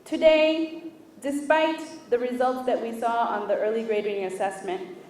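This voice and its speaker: A woman's voice: a little high, fairly even intonation, a little mellow. Woman giving a formal speech in an echoey hall. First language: Spanish. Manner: measured, deliberate, pauses between list items. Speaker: official speaking at a book launch. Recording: echoey room picked up from a distance.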